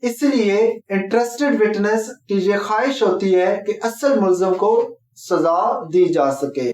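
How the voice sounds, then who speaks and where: A man with a Pakistani accent giving a formal, explanatory legal lecture, instructive and mixing in English terms. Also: sits high. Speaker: law lecturer and presenter. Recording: echoey room sound.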